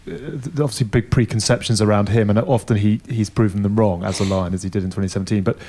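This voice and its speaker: Fast-talking low-voiced male host. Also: fairly even intonation, warm tone. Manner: rambling questions. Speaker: podcast host. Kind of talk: casual chat.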